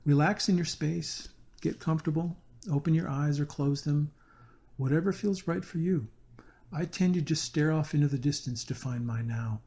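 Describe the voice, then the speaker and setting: A man narrating a scripted guided meditation in a slow, deliberate, earnest manner. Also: on the high side, mellow.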